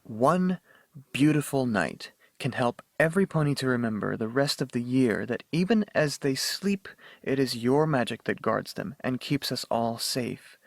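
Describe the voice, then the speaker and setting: A man's voice: mid-range voice, animated, measured pace, creaky, no room sound, studio quality. A man voicing a kind, deferential young unicorn student in a radio drama, earnest and hesitant. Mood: earnest, tender. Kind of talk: fan-made radio drama acting.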